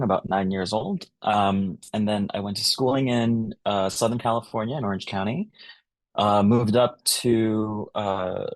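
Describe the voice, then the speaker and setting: A man's voice: on the low side, no echo. A man from the Philippines, a wine professional, telling his story in a podcast interview with lots of ums and restarts. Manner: hesitant, lots of ums and uhs. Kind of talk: casual interview answers.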